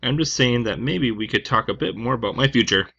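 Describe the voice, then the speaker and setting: Man reading as a defiant young daughter, bright-edged. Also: mid-range voice, fairly quick, gravelly, highs cut off. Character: upset, defiant young woman, college-age daughter. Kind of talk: reading game dialogue aloud.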